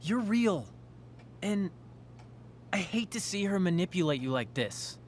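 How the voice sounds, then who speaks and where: Intense teenage boy with wildly swinging pitch. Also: big pitch swings, a little slow, slight background noise. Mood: accusing. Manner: insistent, earnest, intense. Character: possessive, obsessive, self-righteous teenage boy. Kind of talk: dramatic video game voice acting.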